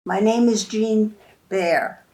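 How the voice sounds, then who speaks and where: A woman's voice: mid-range voice, takes it slowly, warm tone. A woman, carefully recalling her early life. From France. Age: 80s. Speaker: interviewee. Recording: distant mic.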